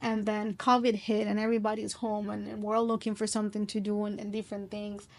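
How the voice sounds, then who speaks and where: A woman's voice: medium pitch, little pitch movement, fast. A middle-aged woman from Colombia, a podcast guest telling her story in an earnest, reflective way with frequent restarts.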